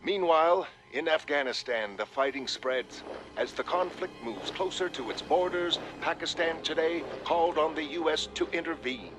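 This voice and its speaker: A man acts out an earnest television newsman with a fairly high male voice. His bulletin delivery is formal and authoritative, each item measured and scripted. Music plays clearly behind him. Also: lightly acted. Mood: formal, serious. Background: loud music.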